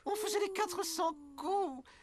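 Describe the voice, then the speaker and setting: High-pitched nostalgic old cleaning lady. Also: measured pace, noisy background. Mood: nostalgic, dramatic. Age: older. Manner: theatrical, slangy, wistful. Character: nostalgic old cleaning lady, former tough biker girlfriend. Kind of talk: dubbed cartoon storytelling with retro slang. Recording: loud music behind the voice.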